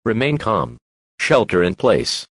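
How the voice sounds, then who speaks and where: A man reading a scripted emergency broadcast announcement in a formal, measured, impersonal manner. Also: lowish voice, big pitch swings, slightly rough, no room sound, studio quality.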